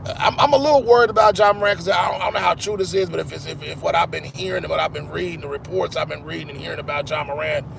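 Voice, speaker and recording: Host sportivo sulla quarantina, afroamericano, voce gracchiante e cavernosa, rumore di traffico dietro. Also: male voice, on the high side, steady tone, very fast, gravelly, hardly any pauses, noisy background. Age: 40s. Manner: rambling, repeats "man", restarts often. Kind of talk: casual live-stream chat with viewers.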